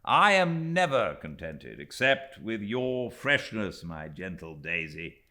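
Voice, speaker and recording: A man voicing a cheerful, self-assured young British gentleman in a classic audiobook, with an airy, jocular and emphatic delivery. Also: fairly low pitch, very animated, slow, voice pushed up, full character voice. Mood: merry, airy. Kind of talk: dramatised classic audiobook dialogue.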